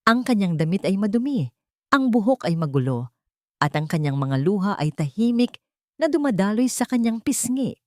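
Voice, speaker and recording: A woman's voice: medium pitch, big pitch swings, normal speed, darkish tone, no echo, clean studio sound. A woman narrating a dramatic romance story in an emotive storytelling manner.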